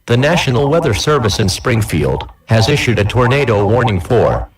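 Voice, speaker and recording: A man's voice: mid-range voice, sing-song, moderate pace. A synthetic man's text-to-speech voice reading out an emergency weather bulletin. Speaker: weather alert announcer. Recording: distant playback, filtered radio-like sound.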